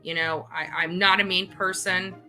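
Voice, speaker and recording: A woman's voice: on the low side, steady tone, moderate pace, bright, forceful tone, soft background music, rough recording. A woman speaking off the cuff in an indignant, emphatic, self-justifying way, like a vlog host. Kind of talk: unscripted monologue to camera.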